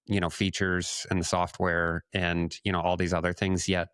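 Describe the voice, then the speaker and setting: A man's voice: low voice, monotone, normal speed, a bit bright, clean tone, dry recording, studio quality. A man speaking casually in conversation as the co-host of a podcast. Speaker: podcast co-host, marketing consultant.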